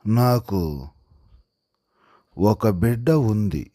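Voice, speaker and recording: A gentle storybook mother cow pleads in a humble, imploring way, read by a man. The words come very slowly in small phrases, with an expressive lilt. The recording is dry and close. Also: lowish voice, no room sound. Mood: pleading. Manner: pitiful, imploring, slow.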